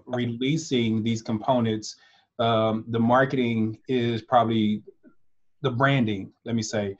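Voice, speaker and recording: A man leading a ministry, speaking in a halting, measured way with many pauses on a video call. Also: mid-range voice, highs cut off. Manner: halting, lots of ums, measured. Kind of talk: casual video call meeting.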